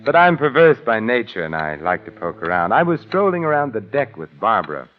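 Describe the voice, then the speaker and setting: An actor playing a suave, unflappable sleuth with urbane, dry, teasing wit, in a 1940s radio detective drama. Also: male voice, mid-range voice, very animated, a little fast, lightly acted. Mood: wry, amused. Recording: muffled vintage 1949 radio recording.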